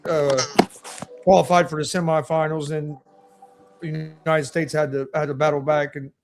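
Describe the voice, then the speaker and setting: A man's voice: fairly high pitch, little pitch movement, moderate pace. A man co-hosting a casual sports chat who rambles and often repeats himself. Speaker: co-host.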